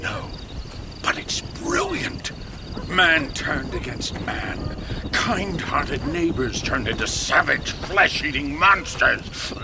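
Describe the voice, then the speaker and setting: Flamboyant, high-pitched eccentric. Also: male voice, sing-song, slow pace, background noise, heavily acted. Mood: gleeful, condescending. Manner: florid, theatrical, condescending. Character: eccentric, callous, flamboyant filmmaker in the Old West. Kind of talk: video game character acting.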